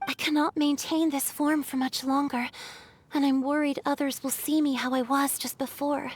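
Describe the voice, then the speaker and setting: Woman acting as high-voiced, wistful water spirit. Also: flat delivery, natural voice. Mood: quietly resolved. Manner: measured, earnest, melancholic. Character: gentle, wistful female water spirit, lovelorn and fragile. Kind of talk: video game cutscene acting.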